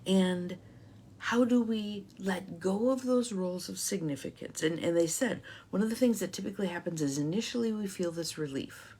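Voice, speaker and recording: A woman's voice: medium pitch, normal speed, mellow, slightly rough. A middle-aged woman life coach hosting a live talk, reflective and encouraging, greeting viewers by name.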